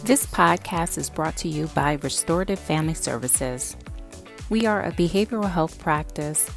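A woman reading sponsor messages for a podcast in a smooth, even way. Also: deep voice, normal speed, faint music behind. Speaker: sponsor announcer. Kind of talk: read sponsor ad.

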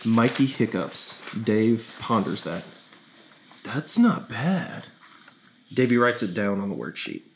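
A man's voice: on the low side, normal speed, gravelly, few pauses, slight background noise. A man talking casually and easily as a comedy guest, also reading stage directions. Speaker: guest sketch writer and comedian.

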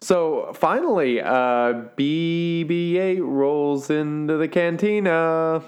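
A man's voice: a little high, takes it slowly. A man co-hosting a podcast in casual chat, easygoing and agreeable. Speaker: podcast co-host.

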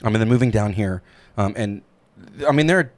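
A man's voice: fairly low pitch, animated, a little fast. A man from New Jersey hosting a podcast, chatting eagerly and rambling through restarts. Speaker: podcast host. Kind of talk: casual podcast chat.

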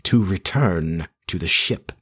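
Storybook villain: male reader as vengeful pirate captain, slow, brooding, theatrical, with a husky edge. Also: lowish voice, a bit bright. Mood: gloating, sly. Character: menacing, brooding pirate captain with a haunted dread.

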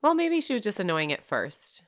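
A woman's voice: mid-range voice, big pitch swings. A woman from Florida co-hosting a podcast, chatting casually and agreeably with her friend. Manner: easygoing, agreeable, quick to respond. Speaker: podcast co-host. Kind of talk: casual chat.

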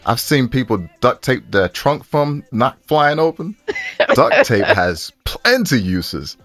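A man's voice: medium pitch, big pitch swings, takes it slowly, a bit bright, dry recording. A man co-hosting a podcast, chatting casually with a bantering, mock-exasperated manner. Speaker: podcast co-host.

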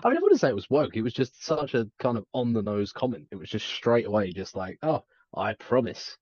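A man's voice: mid-range voice, sing-song, fairly quick, a little mellow, dry recording, lo-fi sound. A man co-hosting a podcast, talking casually in a rambling, hesitant way. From Wales heritage, family on the south coast. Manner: rambling, hesitant, lots of fillers and restarts. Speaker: podcast co-host. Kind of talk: casual chat.

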